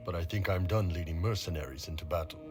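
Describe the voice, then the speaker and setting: A man playing a big, gruff, sardonic and loyal mercenary captain in a video game cutscene. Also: low-pitched, fast talker, natural voice. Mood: resolute, frank. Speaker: mercenary leader. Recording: music behind the voice.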